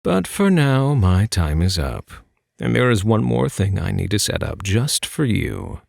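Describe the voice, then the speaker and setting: A man playing a smug, flirtatious phantom thief in an ASMR roleplay, teasing and mock-polite, with a husky, gravelly voice. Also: fairly low pitch, big pitch swings, a little slow, no room sound, clean studio sound, heavily acted.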